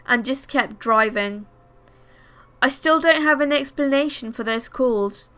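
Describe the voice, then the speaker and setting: Bright, high-voiced woman narrating scary stories. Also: clear, resonant tone. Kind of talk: scripted narration, first-person horror stories read aloud.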